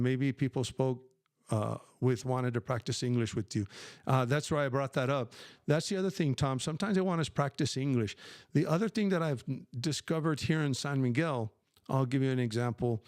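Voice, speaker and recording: A man's voice: mid-range voice, dry recording, clean studio sound. An older man hosting a casual live question-and-answer stream, talking emphatically and rambling with many fillers and restarts. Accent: New Mexican Spanish when speaking Spanish. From New Mexico, USA. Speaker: livestream host.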